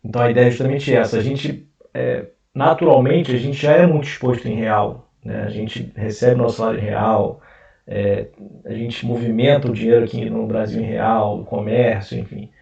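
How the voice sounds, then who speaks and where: A man's voice: medium pitch, fairly even intonation, normal speed, gravelly, warm tone. A Brazilian man with a Carioca accent, hosting a casual investing video, talking with frequent fillers and restarts. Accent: Carioca Portuguese. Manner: hesitant, many fillers and restarts. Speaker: investment channel host. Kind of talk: casual screen-share explainer. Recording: roomy, distant, dull mic.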